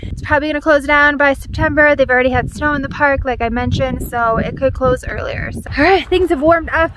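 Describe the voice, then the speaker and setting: American female vlog host, 30s, high pitch, upbeat and casual, very noisy outdoor recording. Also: fairly quick. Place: outdoors on an open mountain trail. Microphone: handheld camera at arm's length.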